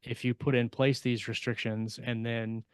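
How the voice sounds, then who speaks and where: Low-voiced man talking on a podcast, dry sound. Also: level tone, normal speed, clean tone, dry recording. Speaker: podcast co-host and fiction writer. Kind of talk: casual podcast discussion.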